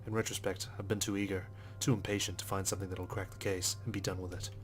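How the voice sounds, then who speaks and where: Fast-talking man with a low, even voice. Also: soft background music. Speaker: let's player. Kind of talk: reading a visual novel aloud with casual commentary.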